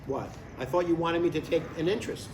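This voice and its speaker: A man's voice: on the high side, fast talker, natural voice. An older man playing an eager, stubborn retired father in a live comedy script table read, chatting earnestly and needling a little. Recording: echoey, distant live room with background noise.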